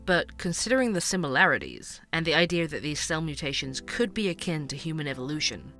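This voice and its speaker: Male investigative podcast host, fiction podcast. Forward, slightly high voice; wry, conversational narration over soft music. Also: expressive, brisk. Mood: wry, engaged.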